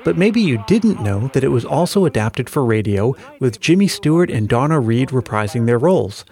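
A man's voice: medium pitch, very animated, moderate pace, a little mellow. An American man narrating a scripted podcast as its host. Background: faint music.

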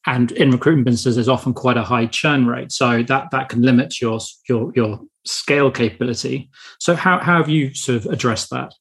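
A man hosting a podcast, asking friendly, halting questions with frequent restarts. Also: mid-range voice, fairly bright tone, husky. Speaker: podcast host. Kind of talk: casual interview hosting.

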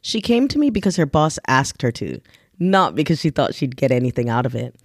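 Low-voiced, very lively woman podcast host. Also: fairly quick, slightly rough, no room sound, studio quality. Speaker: podcast host, business English coach. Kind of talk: podcast introduction and conversational interviewing.

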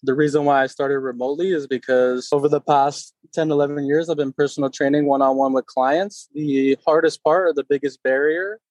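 A man in his thirties from Connecticut, a personal trainer talking casually in an interview, relaxed with many fillers. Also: a little high, a little fast, hardly any pauses, no room sound. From Connecticut, USA. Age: 30s.

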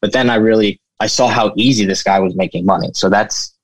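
A man's voice: fairly low pitch, fairly quick, no echo. A young man who grew up in the United States, telling his story informally as an interview guest, often saying "you know.". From United States, born in Turkey. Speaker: interview guest, flooring business owner. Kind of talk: casual personal storytelling.

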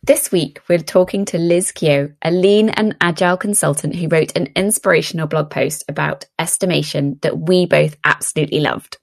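A woman with a slightly low voice presents as a podcast co-host. Her delivery is scripted but playful and breezy, like easy banter between presenters. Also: normal speed, gravelly, hardly any pauses.

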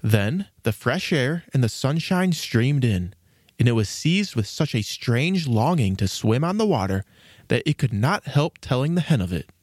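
A man's voice: medium pitch, sing-song, normal speed, a little mellow, dry recording, clean studio sound. A man reading scripted storybook narration as the narrator of a fairy tale.

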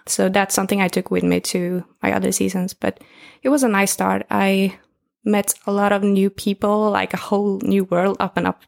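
A woman in her twenties from northern Sweden, speaking English with a Swedish accent in a relaxed, chatty interview. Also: mid-range voice, gravelly. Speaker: guest. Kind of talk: casual interview talk.

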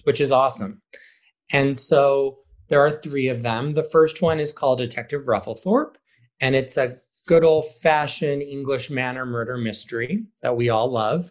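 A man, a theater director, giving conversational explanatory answers as an interview guest. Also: mid-range voice. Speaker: high school theater director, podcast guest.

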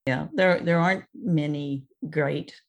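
Woman with a pretty low voice, chatty interviewer, informal and encouraging. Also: unhurried, highs cut off. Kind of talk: casual interview chat.

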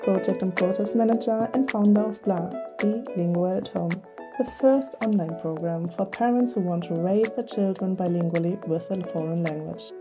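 A woman's voice: medium pitch, moderate pace, music in the background. A middle-aged woman from Germany with a German accent, hosting her podcast and reading an earnest, encouraging script. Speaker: podcast host.

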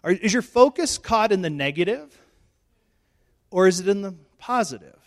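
A middle-aged male pastor, hoarse and losing his voice, giving a chatty, self-joking sermon in church on a handheld mic. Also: high voice, lively intonation, moderate pace. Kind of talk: informal sermon. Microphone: handheld microphone.

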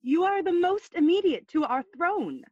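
A woman's voice: very high voice, sing-song, normal speed, darkish tone, no echo, dull audio. An actress playing a regal middle-aged queen and mother, formal and entreating, in a Shakespearean audio play. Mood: pleading.

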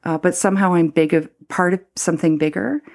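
A middle-aged Canadian woman, a former priest, speaking reflectively in an interview with many pauses, fillers and restarts. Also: fairly low pitch, steady tone, vocal fry, darkish tone. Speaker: former Anglican priest, guest.

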